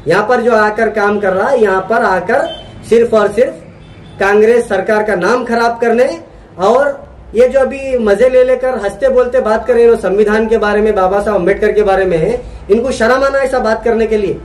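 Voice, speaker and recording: High-pitched indignant man with Deccani accent. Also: normal speed, a little hiss or hum. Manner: indignant, accusatory, repetitive. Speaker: activist spokesman. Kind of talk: protest statement to press.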